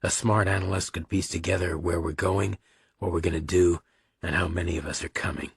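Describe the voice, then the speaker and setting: Role: middle-aged officer dad male narrator, very low, husky, grave, terse, for a crime audiobook. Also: steady tone, fairly quick, no echo, full character voice. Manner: subdued, terse, matter-of-fact. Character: grave, weary military father, middle-aged Marine officer.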